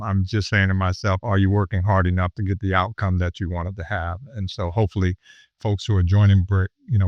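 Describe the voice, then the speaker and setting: A man from Miami with a fairly low voice hosts a casual interview, talking in a halting way full of "um" and "you know". The sound is dry and a little dull, as if the mic is muffled. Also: dry recording. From Miami, USA. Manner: halting, with many fillers and restarts. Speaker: podcast host.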